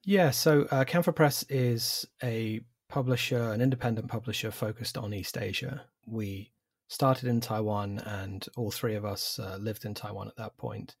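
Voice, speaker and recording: A man in his forties with an English accent, a publisher answering interview questions in a measured, thoughtful way with some fillers. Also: lowish voice, steady tone, vocal fry, breathy edge, no echo, studio quality. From England. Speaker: publisher, guest.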